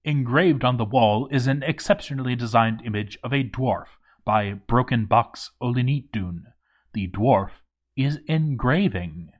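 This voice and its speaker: A man reading a game story aloud as dramatic narration, with theatrical emphasis and deliberate pauses. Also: medium pitch.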